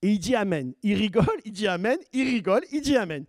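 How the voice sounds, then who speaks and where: A man's voice: sits high, animated, fast talker, no echo, studio quality. A man preaching as pastor, questioning his congregation and building to emphatic points. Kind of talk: sermon teaching.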